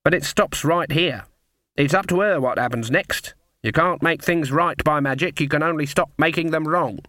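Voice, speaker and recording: A stern old country witch, played by a male narrator, stubborn and sharp-tongued, given to muttering and snapping. The speech is rustic and blunt, in a dry, close storybook recording. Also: on the high side, normal speed, no echo, pitched above the performer's own voice. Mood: stern, firm.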